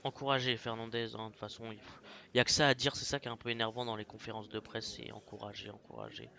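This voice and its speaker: Bright-voiced French gamer with a cold. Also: male voice, on the low side, lively intonation, fast talker, gravelly. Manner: chatty, many fillers, drops to mutters while navigating menus. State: has a cold. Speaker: gaming YouTuber. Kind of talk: casual gameplay commentary.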